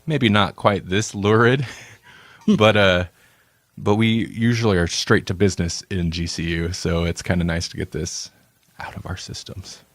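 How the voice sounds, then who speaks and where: A man's voice: sits low, a little slow, no room sound. A male podcast host in casual banter, playful and rambling, laughing often.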